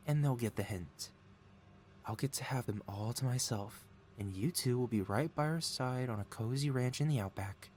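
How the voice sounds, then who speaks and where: A man voices a mad scientist villain for an intimate ASMR roleplay. He is a mid-pitched male schemer who coaxes and flatters the listener with soothing devotion. Beneath the innocent act, he is possessive. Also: a little hiss or hum, natural voice. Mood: conspiratorial, sly. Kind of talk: ASMR audio roleplay, intimate one-sided dialogue.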